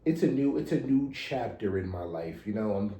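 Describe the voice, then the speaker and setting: American guy 30s, super mellow dark voice, blunt slangy podcast talk, swears, echoey. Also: on the low side, lively intonation, normal speed. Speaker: podcast co-host. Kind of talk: casual chat.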